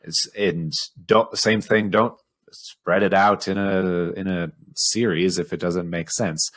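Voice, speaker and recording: Male podcast co-host with a low-pitched voice, conversational and opinionated. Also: steady tone, slow pace, a bit bright. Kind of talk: casual film discussion.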